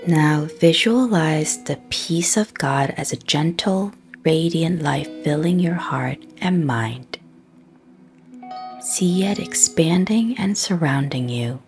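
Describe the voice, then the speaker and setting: Female meditation and prayer guide, soft and breathy with a creaky edge, very unhurried scripted read with frequent pauses. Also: low voice, expressive, soft background music.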